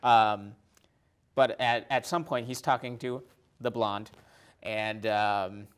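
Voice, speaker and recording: A man talking casually about a film as a podcast guest, haltingly and with many uses of "like". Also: fairly low pitch, steady tone, smooth. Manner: hesitant, halting, often repeats "like".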